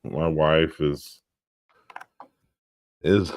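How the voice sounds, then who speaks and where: A middle-aged man, a pastor, chatting as an interview guest in an easygoing way with a booming voice. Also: fairly even intonation, extremely slow, slight background noise. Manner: easygoing, loose with fillers like "like". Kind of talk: casual interview chat.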